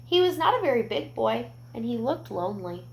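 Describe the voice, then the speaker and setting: A woman reading a picture book aloud to young children, expressive, with gentle explanatory asides. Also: fairly high pitch, a little fast, gravelly, rough recording.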